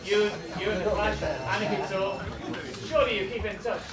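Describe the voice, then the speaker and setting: A man's voice: high voice, moderate pace. A man reporter asking teasing questions at a press conference. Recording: echoey hall, distant mic, crowd.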